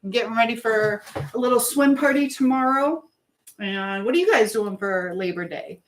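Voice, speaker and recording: A woman's voice: fairly high pitch, expressive, normal speed, poor audio. Woman rambling through a casual livestream. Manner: rambling, trailing off, chatting while busy with kitchen work. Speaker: livestream host. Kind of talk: casual live chat, reading and answering viewer comments.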